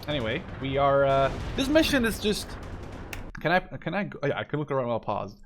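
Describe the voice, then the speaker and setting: A man's voice: mid-range voice, a little fast. Young man commentating over battle noise. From Netherlands. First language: Dutch. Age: 20s. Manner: rambling, informal, expressive. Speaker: gaming video host. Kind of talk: casual gameplay commentary. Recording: game gunfire and artillery behind. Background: video game battle sounds.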